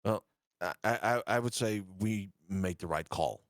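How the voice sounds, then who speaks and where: A man's voice: deep voice, unhurried, no echo, studio quality. A man playing a tabletop game who stammers and repeats words as he asks questions. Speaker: player. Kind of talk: casual game chat.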